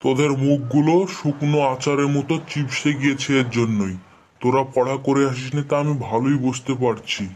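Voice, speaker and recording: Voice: medium pitch, steady tone, a little fast, a little mellow, a little hiss or hum, close to an everyday voice. A performer voicing a stern, sarcastic male schoolteacher in a cartoon comedy, scolding his pupils.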